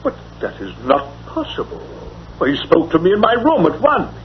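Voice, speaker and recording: A muffled old radio recording of a proper English gentleman, easily astonished. His voice sits fairly high and rises and falls animatedly as he speaks in earnest, formal British tones. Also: big pitch swings, normal speed, a little hiss or hum. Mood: puzzled, earnest. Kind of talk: mid-century radio drama acting. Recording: dull vintage radio recording.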